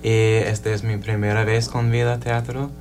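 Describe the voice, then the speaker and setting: A young man, an English speaker, introducing himself in halting learner Spanish with many pauses and fillers. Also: lowish voice, flat delivery, darkish tone, slight background noise. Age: young adult. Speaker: student actor guest.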